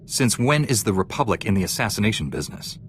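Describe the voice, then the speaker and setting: An actor playing a confident young Jedi Knight in a video game, plain-spoken and wry. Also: male voice, lowish voice, lively intonation, quick pace, a little hiss or hum, lightly acted. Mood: questioning.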